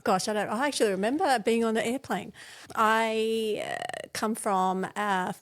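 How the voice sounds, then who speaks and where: A woman's voice: a little high, a little slow. A woman in her forties, a guest on an interview podcast, telling her story in a chatty way with many fillers. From born in England, raised in Australia. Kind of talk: casual interview chat.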